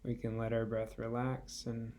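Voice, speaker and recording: A man guiding a meditation in a gentle, unhurried way, leaving long pauses between short phrases. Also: mid-range voice, completely flat delivery, a little hiss or hum. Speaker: meditation guide. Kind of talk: guided body scan meditation.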